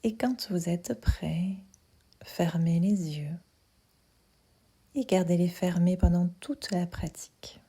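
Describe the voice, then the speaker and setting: A woman leads a guided relaxation for sleep in a mellow, hushed voice that often falls to a near whisper. She speaks very slowly, giving gentle instructions with space between them. Her tone is breathy and soothing throughout. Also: fairly low pitch. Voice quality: soft, breathy, half-whispered. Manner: calm, soothing, unhurried instructions with pauses. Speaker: yoga nidra guide.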